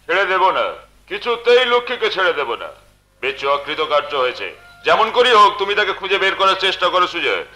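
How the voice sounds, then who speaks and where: A man's voice: sits high, sing-song, bright, forceful tone, reverberant, soft background music, exaggerated voice. A man playing a confident, scheming villain in folk opera, theatrical and peppering his speech with English words. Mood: determined. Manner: sprinkles English words, drawn-out theatrical delivery. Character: smooth-talking scheming villain, confident and menacing. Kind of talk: Bengali folk opera stage acting.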